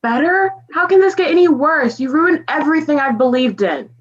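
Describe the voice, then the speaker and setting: A woman's voice: sits high, lively intonation, normal speed. A woman playing a stuffy, outraged purist with a pompous manner, in a comic stage play reading. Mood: indignant. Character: indignant, stuffy purist defending a beloved classic story. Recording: slightly dull, lo-fi sound.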